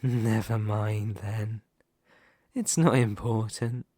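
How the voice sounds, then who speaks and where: A man playing a dominant, vain and possessive demon lord in an ASMR roleplay, speaking formally and theatrically with deliberate dramatic pauses. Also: lowish voice, steady tone, dark tone, no echo, studio quality. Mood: coolly possessive. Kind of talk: ASMR roleplay character monologue.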